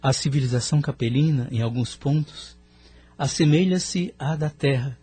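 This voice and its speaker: A man's voice: mid-range voice, moderate pace, dark tone, husky, close to an everyday voice. An actor playing a courteous, inquisitive man from Earth in an audio drama, with formal, scripted phrasing. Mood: curious.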